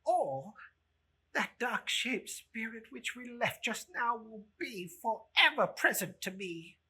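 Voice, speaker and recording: A performer voicing an anguished old male miser, imploring and halting in a theatrical story reading. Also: medium pitch, lively intonation, bright, forceful tone, husky, voice pushed up, heavily acted. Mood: desperate pleading.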